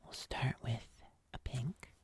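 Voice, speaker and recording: A woman voicing a gentle, nervous fuzzy monster in a breathy, hesitant ASMR whisper. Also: natural voice. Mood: careful, mildly nervous. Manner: slow, soft, hesitant, with small pauses. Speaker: ASMR host.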